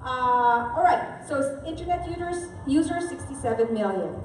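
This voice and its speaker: A woman's voice: high-pitched, expressive, normal speed, darkish tone, a little hiss or hum. A woman from the Philippines giving a live seminar presentation, talking conversationally and often checking in with the audience. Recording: echoey hall from far off.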